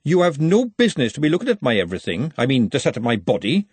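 A man voicing a sharp-tongued, defensive female kitchen worker in an audiobook, snappy but falsely sweet to superiors. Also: medium pitch, wildly swooping pitch, fast talker, slightly rough, no echo, pitched above the performer's own voice. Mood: snappish, defensive.

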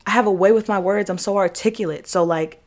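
A woman's voice: medium pitch, quick pace, darkish tone. A young American woman hosting a podcast, giving a casual motivational monologue in a candid, emphatic, slangy way with swearing and repeated phrases. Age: young adult. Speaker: podcast host.

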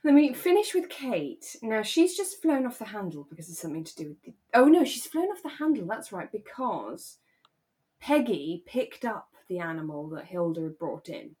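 A young British woman co-hosting a podcast in relaxed casual discussion, thinking aloud and qualifying what she says as she goes. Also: medium pitch, normal speed. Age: young adult. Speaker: podcast co-host.

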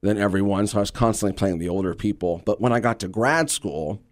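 A middle-aged American man with a fairly low voice talks casually as an interview guest. He tells stories in an animated, actorly manner. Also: fast. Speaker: guest, stage actor and radio drama producer.

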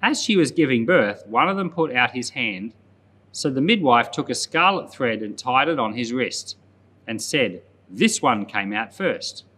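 A man speaking as a pastor, reading and explaining scripture aloud in a measured, plain-spoken way. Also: medium pitch, expressive, sharp tone, slightly rough. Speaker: pastor, Bible podcast host.

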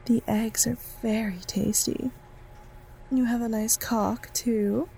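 An actress plays a sultry, teasing woman who runs a general store in an audio drama. Her fairly high voice is soft-edged and slow, sliding into suggestive breathy murmurs over faint noise. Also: fairly even intonation. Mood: flirtatious, teasing. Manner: slow, lingering, innuendo-laden delivery.

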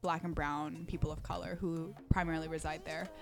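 A woman's voice: low-pitched, little pitch movement, a little fast, creaky, music in the background. A young woman whose first language was Korean, chatting casually as a podcast guest, filling her talk with "like" and laughing often. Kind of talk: casual conversation.

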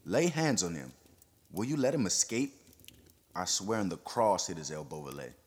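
A man reading an audiobook, voicing a frantic, vengeful man who shouts his words out in a rush. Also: sits low, moderate pace. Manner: shouting, words tumbling over each other.

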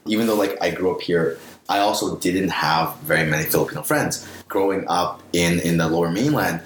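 A man in his late twenties with a western Canadian accent talks casually about himself in a low voice. He is recorded in a reverberant room with faint background noise. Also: brisk. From Vancouver, Canada. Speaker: podcast guest. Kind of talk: casual personal storytelling.

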